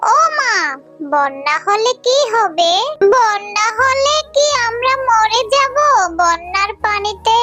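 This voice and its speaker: A performer voicing a frightened, pleading little girl fox cub in a children's cartoon, with plaintive, drawn-out appeals. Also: extremely high pitch, expressive, music in the background, full character voice.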